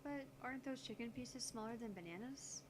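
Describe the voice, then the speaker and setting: Snippy young genius scientist acted by a woman, higher-pitched, analytical, visual-novel dialogue over clear background noise. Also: brisk, lightly acted. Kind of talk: visual novel character dialogue read aloud.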